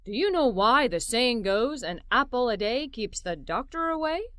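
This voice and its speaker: A woman voicing a knowledgeable, good-humored father who explains things gently in a children's storybook. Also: on the high side, sing-song, moderate pace, no room sound, studio quality. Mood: amused, informative.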